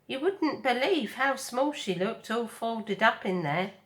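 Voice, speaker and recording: Storybook otter, played by a woman: big, sociable female, well-meaning and breezy, voice lifted high. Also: expressive, a little slow. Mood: chatty. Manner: breezy, informal.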